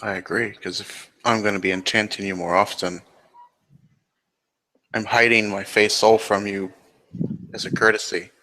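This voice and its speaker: A man acting as a grave fae changeling in a roleplay game. His voice sits low and stays very even, with a deliberate, serious delivery that is measured from phrase to phrase. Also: poor audio. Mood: grave, explaining. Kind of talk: improvised roleplay dialogue.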